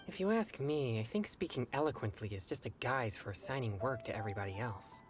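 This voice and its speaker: A woman's voice: extremely low pitch, lively intonation, brisk, music in the background. A woman playing a reluctant, put-upon young friend in a video game, grumbling and resigned. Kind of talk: video game cutscene acting.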